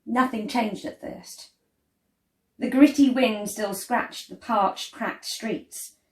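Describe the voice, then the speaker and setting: Female storyteller, unhurried and expressive, measured dramatic delivery, mid-range pitch, roomy echo. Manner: measured, deliberate, with dramatic emphasis and pauses. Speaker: storyteller reading a picture book. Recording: roomy, echoey indoor sound.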